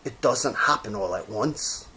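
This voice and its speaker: A man voicing a wise, gentle old toy horse from a storybook, patiently explaining. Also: fairly low pitch, unhurried, a little hiss or hum.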